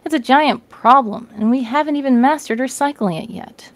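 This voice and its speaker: A woman's voice: high-pitched, normal speed, dry recording, voice pushed up, natural voice. Woman as earnest male recycling manager, plain-spoken, storybook, dry recording.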